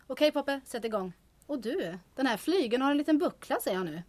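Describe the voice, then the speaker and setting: An actress playing a bold, breezy woman schemer in a comic audio drama, brisk, self-assured and nonchalant. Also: sits high, expressive, darkish tone, dry recording. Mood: brisk, scheming. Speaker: actor in audio drama serial. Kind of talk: comic radio-play acting.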